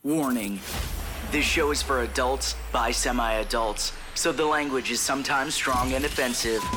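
Male announcer over loud guitar music. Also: medium pitch, measured pace. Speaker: podcast intro announcer. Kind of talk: scripted show introduction. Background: loud plucked guitar music.

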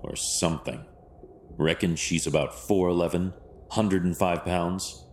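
A grim vigilante detective in an audio drama, with an extremely deep voice. He speaks formally and deadpan, stoic and commanding, over faint noise and ambience. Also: measured pace. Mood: analytical, stoic.

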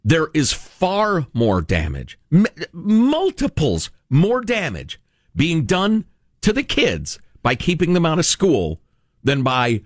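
American guy talk radio host, really animated delivery, stresses his points, dry studio mic. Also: a little high, slow pace, no room sound. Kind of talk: reading a letter aloud with conversational commentary.

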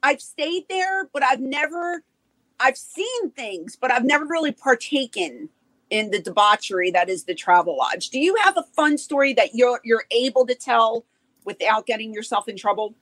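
A woman's voice: a little high, animated, moderate pace, fairly bright tone, no room sound, rough recording. A woman in her forties hosting a casual interview, teasing and playful. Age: 40s. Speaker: podcast host.

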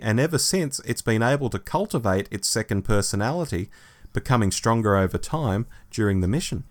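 Man reading with lively, varied intonation. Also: fairly low pitch. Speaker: podcast host. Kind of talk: scripted plot summary narration.

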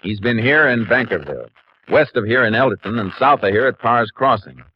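An American actor playing a steady, shrewd Texas Ranger who narrates and talks in a clipped, matter-of-fact 1950s radio style. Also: male voice, medium pitch, fairly quick, natural voice. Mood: shrewd, reasoning. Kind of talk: 1950s western radio acting, detective narration. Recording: muffled, noisy old radio recording.

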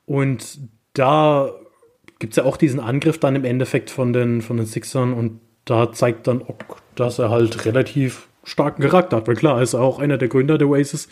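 A man co-hosting a casual film discussion podcast, speaking haltingly as he searches for his words. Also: mid-range voice, fairly even intonation, a little mellow. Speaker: podcast co-host.

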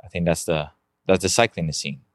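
A man with a Colombian accent and a very low voice talks about his home country as a podcast guest. His English is halting and non-native, with frequent restarts. The sound is dry and close to the mic. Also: fairly even intonation, no echo. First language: Spanish. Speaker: guest, amateur bike racer. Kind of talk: casual interview chat.

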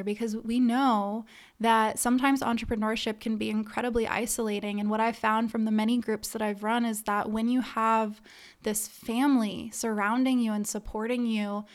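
A woman's voice: fairly high pitch, level tone, normal speed. A woman hosting a solo podcast, speaking conversationally in an earnest, encouraging, emphatic way as a coach addressing listeners. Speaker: podcast host and business coach. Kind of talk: conversational solo podcast monologue with membership pitch.

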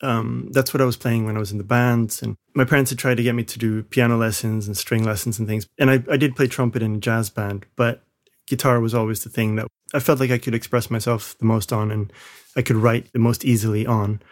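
Reflective man answering in a relaxed way. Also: medium pitch, brisk. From grew up in Malaysia. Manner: reflective, with frequent restarts and hedges. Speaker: guest, film composer. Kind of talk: casual interview talk. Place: home studio.

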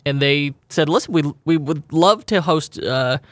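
A man's voice: on the high side, moderate pace, no echo. A man co-hosting a podcast in casual chat, rambling and laughing often as he corrects himself. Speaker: podcast co-host.

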